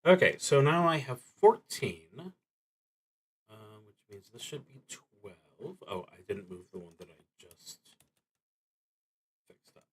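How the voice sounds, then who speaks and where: Slow, halting man narrating solo roleplay. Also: mid-range voice, very animated, breathy edge. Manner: hesitant, halting, trailing off mid-thought. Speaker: solo tabletop game player and streamer. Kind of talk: improvised live commentary on a solo roleplaying game, thinking aloud.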